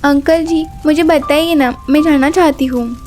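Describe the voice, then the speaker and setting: A woman voicing a curious, polite and innocent young girl in an animated moral story. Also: high-pitched, moderate pace, over music, lightly acted.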